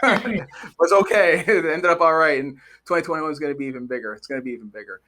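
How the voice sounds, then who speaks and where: A man's voice: fairly high pitch, fast talker, sharp tone, a little hiss or hum. A man hosting a podcast, upbeat and chatty, often stumbling and repeating words as he asks questions. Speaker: podcast host.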